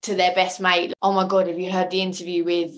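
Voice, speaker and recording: A woman's voice: lowish voice, flat delivery, fairly quick. A woman chatting enthusiastically and casually as a guest in an interview. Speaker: podcast guest, audio drama creator.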